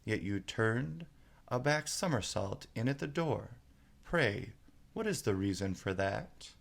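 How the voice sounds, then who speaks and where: A man's voice: on the low side, lively intonation, takes it slowly, vocal fry, full character voice. A man playing a cheeky, inquisitive young man reciting rhythmic storybook verse to his father. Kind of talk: storybook poem reading.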